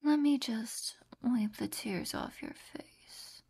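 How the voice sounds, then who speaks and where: A woman voicing two doting, possessive young female captors in a whispered audio roleplay. She speaks in a soft, cooing whisper full of pet names, with a husky voice. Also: a little high, takes it slowly, full character voice.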